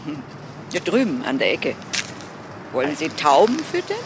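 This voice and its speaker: A judgmental, chatty gossip in a crime drama, her low voice swinging expressively. She sounds knowing and talkative, in a clearly noisy outdoor recording. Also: wildly swooping pitch, normal speed, heavily acted. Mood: knowing, dismissive. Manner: talkative, knowing, answers for others. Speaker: actress as gossiping acquaintance.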